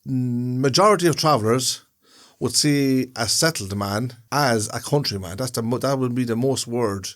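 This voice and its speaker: A man talks loosely through a casual podcast conversation, circling back and repeating phrases as he explains. His voice sits at an ordinary middle pitch for a man. It sounds like an everyday indoor chat between two friends. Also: moderate pace. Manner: rambling, repeats and restarts phrases while explaining. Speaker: podcast co-host. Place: room, indoors.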